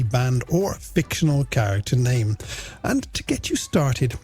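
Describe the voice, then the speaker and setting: Animated middle-aged Irish radio man, gravelly. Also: a little high. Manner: playful, self-deprecating, addresses listeners as friends. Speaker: radio host and presenter. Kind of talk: chatty late-night radio show presenting with listener messages. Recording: radio broadcast with music bed underneath. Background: music.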